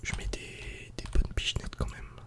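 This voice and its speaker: A woman whispering breathy, unvoiced ASMR commentary while playing a video game, murmuring to herself and to viewers. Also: normal speed.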